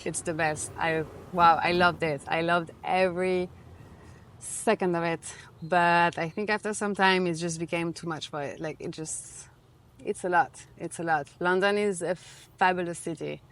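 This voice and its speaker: French lady in her 30s chatting away, lotsa "like", lowish voice. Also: steady tone, moderate pace, fairly bright tone. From France. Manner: chatty, full of "like" fillers. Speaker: interview guest. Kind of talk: casual conversation.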